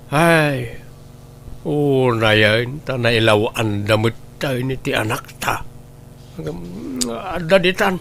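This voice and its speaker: A man playing a cautious father in an audio drama, with an Ilocano accent and a measured, hesitant manner. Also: medium pitch, gravelly, fairly bright tone, a little hiss or hum, full character voice. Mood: relenting. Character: cautious father, head of a rural household.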